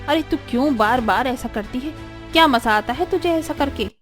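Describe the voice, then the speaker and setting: A woman voicing an arrogant, sharp-tempered older mother-in-law in a cartoon story, haranguing indignantly with rhetorical questions, over music. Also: high voice, normal speed, close to an everyday voice. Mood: indignant, scolding. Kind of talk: Hindi cartoon story voice acting.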